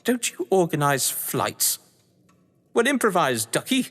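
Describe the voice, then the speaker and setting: An actor playing a scheming, theatrical English crime novelist in an audio drama, pompous, arch and bossy, with an English accent. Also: male voice, on the high side, huge pitch swings, measured pace, fairly bright tone, full character voice. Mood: gleeful, commanding. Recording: long hall-like reverb.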